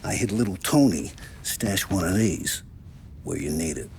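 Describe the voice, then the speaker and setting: An actor playing a mob armorer in a cinematic game, a gruff, matter-of-fact underworld fixer with a businesslike way of talking. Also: male voice, deep voice, lively intonation, a little slow, a little mellow, heavily acted. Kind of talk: cinematic video game acting. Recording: clear background noise and clicking.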